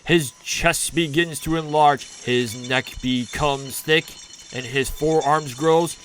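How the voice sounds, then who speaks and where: A man narrating a campy retro serial in a theatrical, deliberate, scripted style. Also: fairly high pitch, fairly even intonation, fairly bright tone, a little hiss or hum.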